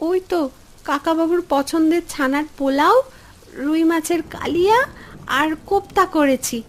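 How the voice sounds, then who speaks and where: A woman voicing a practical, deferential village housemaid in a Bengali cartoon, soft-spoken and matter-of-fact. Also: extremely high pitch, moderate pace. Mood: helpful. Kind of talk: Bengali fairy-tale cartoon acting. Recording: music under the dialogue.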